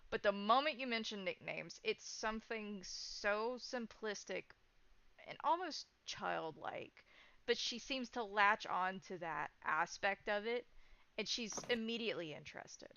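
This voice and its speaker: A woman's voice: medium pitch, animated, measured pace, creaky, bright, forceful tone, no room sound, lo-fi sound. A woman improvising third-person narration in a tabletop roleplaying game, describing what characters do and feel.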